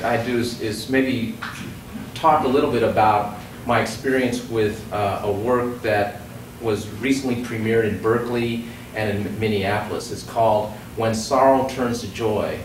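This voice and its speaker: A man in his forties giving a talk at a podium in a hall, halting with many fillers and restarts. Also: mid-range voice. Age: 40s. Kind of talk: lecture at a podium. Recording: roomy distant hall recording with noise.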